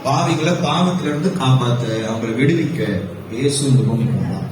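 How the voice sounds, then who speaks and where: A man's voice: medium pitch, expressive, a little fast. A man hosting an interactive children's puppet show, playfully coaxing and asking questions. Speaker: host of a children's Bible program puppet show. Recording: echoey hall, distant mic, background noise.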